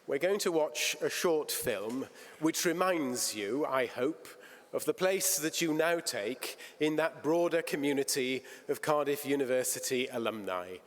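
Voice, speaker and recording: Formal man speaking in a reverberant hall. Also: medium pitch. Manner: formal, courteous. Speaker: ceremony presider. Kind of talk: formal ceremony address. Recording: long reverb in a large hall.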